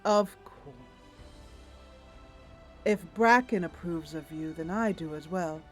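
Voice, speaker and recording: A woman voicing a kind, composed, noble female adventurer who speaks in a formal, measured manner. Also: on the low side, soft background music, voice pushed down. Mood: affectionate.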